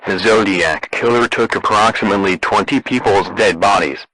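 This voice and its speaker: A man with a synthetic, deadpan and formal delivery, reading a scripted emergency broadcast announcement. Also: fairly low pitch, moderate pace, rough recording.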